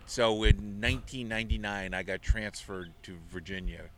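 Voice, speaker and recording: A man's voice: fairly low pitch, steady tone, moderate pace, noisy background. A middle-aged man, a guest on a golf podcast, telling rambling stories in casual interview talk. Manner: chatty, rambling storyteller. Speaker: guest, avid golfer.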